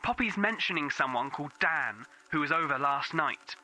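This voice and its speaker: A man's voice: fairly high pitch, expressive, moderate pace, pushed and bright, faint music behind, low-quality recording. A man playing a bitter, accusing divorced father in an audio drama, his voice run through a phone filter. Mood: jealous, angry.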